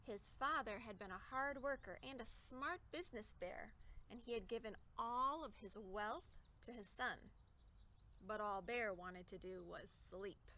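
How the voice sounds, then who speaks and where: A woman's voice: mid-range voice, unhurried, sharp tone. A woman reading a children's story aloud expressively, adding explanatory asides and questions for young listeners. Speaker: storybook reader.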